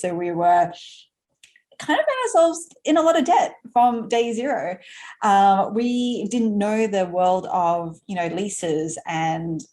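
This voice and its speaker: Aussie woman in her 30s, chatty podcast guest, lots of ums and little laughs, slightly low voice. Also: darkish tone. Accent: Australian. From Australia. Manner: chatty, laughs often, many fillers and restarts. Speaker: guest entrepreneur. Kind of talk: casual conversational interview.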